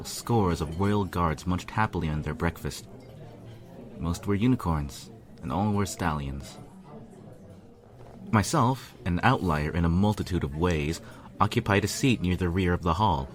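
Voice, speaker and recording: A man reading a first-person story aloud as narrator, with an earnest, measured, brooding delivery. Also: deep voice, lively intonation. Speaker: fan fiction reader, narrator. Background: instrumental music.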